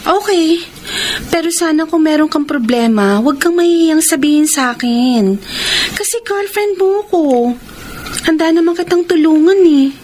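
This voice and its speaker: A woman's voice: extremely high pitch, moderate pace, darkish tone, a little hiss or hum. An actress with a Filipino accent playing a devoted, kind, easily wounded young girlfriend in a radio drama. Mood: gentle concern. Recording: music bed under voice.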